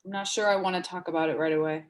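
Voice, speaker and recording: A woman playing a seriously ill woman under forty in a dramatic stage reading, evasive and halting in speech. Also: lowish voice, steady tone, close to an everyday voice. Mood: evasive, shaken.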